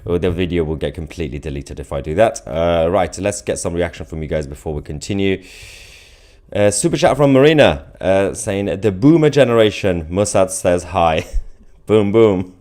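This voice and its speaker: Very deep-voiced man hosting a live stream. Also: darkish tone. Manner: chuckling, informal, off-the-cuff. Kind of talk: casual live commentary, reading viewer comments.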